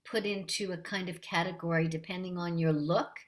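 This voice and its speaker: Light-voiced, warm woman. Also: fairly low pitch, fairly even intonation. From Canada. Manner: warm, friendly, unhurried. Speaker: voice actress guest. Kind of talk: casual interview.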